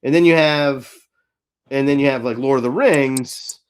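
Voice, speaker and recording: A man co-hosting a podcast in casual fan chat, halting and interrupting himself, with some mild swearing. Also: mid-range voice, smooth. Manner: halting, self-interrupting, mildly profane. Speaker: podcast co-host.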